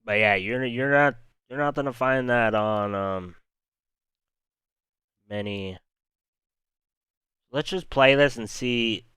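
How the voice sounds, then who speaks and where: Calm-voiced man hosting a weather stream. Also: medium pitch, slow, long pauses, clear, resonant tone, dry recording, studio quality. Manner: calm, rambling, talks to chat viewers. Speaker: livestream weather host. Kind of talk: unscripted live weather stream.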